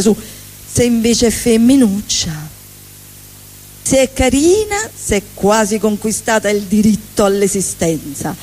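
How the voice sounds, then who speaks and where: A woman's voice: mid-range voice, darkish tone. Sarcastic woman comic. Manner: sarcastic, rattles off lists. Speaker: comedian. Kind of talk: stand-up monologue. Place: stage at a live benefit evening.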